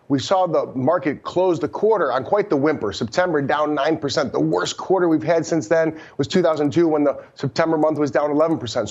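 A man's voice: a little high, darkish tone. A man giving emphatic live market commentary as a TV guest, on a slightly muffled connection. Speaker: market strategist, TV guest contributor.

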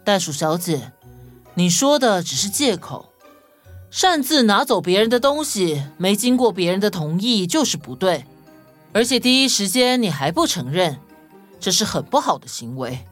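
A woman voicing a sharp, calm young detective in a children's audio story, reasoning in a deliberate, matter-of-fact way. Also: mid-range voice. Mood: scolding. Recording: music under the voice.

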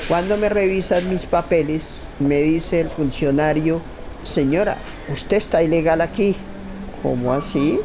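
A woman's voice: deep voice, unhurried, background noise. A middle-aged woman telling a personal story informally and emphatically, acting out remembered conversations. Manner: emphatic, acts out remembered dialogue. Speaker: interview guest telling a travel story.

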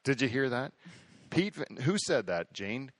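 This is a male podcast host with a middle-pitched voice, talking in a loose, rambling chat. He brags and gets excited, circling back on his own words. The sound is dry and close, with no room around it. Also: a little slow, dry recording. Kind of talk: casual chat.

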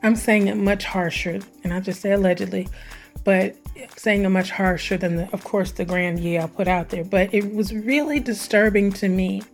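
A woman hosting her own podcast, her voice hoarse and scratchy, talking in an animated, conversational way and drawing out words for emphasis. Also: mid-range voice, moderate pace, soft background music. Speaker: podcast host. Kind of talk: solo podcast intro.

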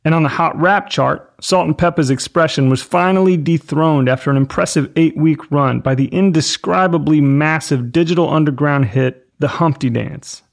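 A man's voice: fairly high pitch, normal speed, gravelly, hardly any pauses. A man of about forty, an enthusiastic podcast host talking about pop culture. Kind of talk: scripted narration and casual chat about pop culture.

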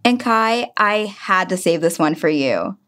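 A woman's voice: fairly low pitch, expressive, measured pace, over music. Friendly woman hosting a podcast. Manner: friendly, playful banter introducing voicemails. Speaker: podcast host. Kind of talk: casual podcast chat.

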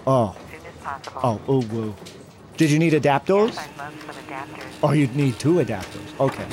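A man playing a fussy office sales rep in an audio comedy, halting as he talks and given to sing-song cooing. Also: mid-range voice, animated, lightly acted. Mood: chirpy, distracted.

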